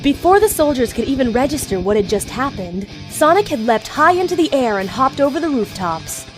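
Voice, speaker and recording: High-voiced woman narrating a story. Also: very animated, fairly quick, faint music behind, low-quality recording.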